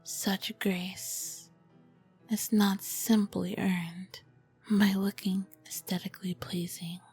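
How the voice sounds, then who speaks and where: Casting: female performer as charming, dangerous royal vampire queen for ASMR roleplay; breathy whispered delivery, unhurried, courtly and flirtatious. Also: medium pitch, darkish tone, heavily acted. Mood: tender, admiring. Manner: slow, formal, flirtatious phrasing. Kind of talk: ASMR roleplay, scripted and intimate.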